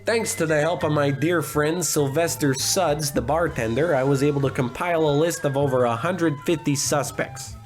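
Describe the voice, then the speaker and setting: Over music, an actor plays a cocky, hard-drinking male detective from an old radio noir spoof. His voice sits a little high for a man. He monologues with melodramatic self-importance and tosses off wisecracks. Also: moderate pace, few pauses, close to an everyday voice. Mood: grave, melodramatic. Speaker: narrator and lead actor.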